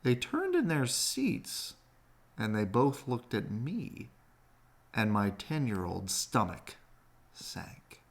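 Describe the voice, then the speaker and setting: A man reading a children's picture book aloud in an expressive storytelling way. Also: medium pitch, slow pace.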